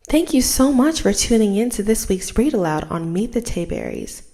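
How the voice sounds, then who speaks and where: Animated young woman reading a storybook. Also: medium pitch, normal speed. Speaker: storytime host. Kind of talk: children's book read-aloud.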